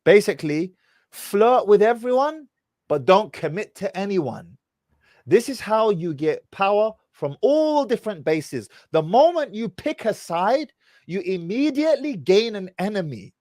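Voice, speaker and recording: Young male stream host who interrupts and lectures, debating callers. Voice sits a touch high, close and dry. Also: big pitch swings, measured pace, darkish tone, no room sound. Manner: interrupting and cross-examining; lectures in long explanatory runs. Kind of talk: debating call-in chat.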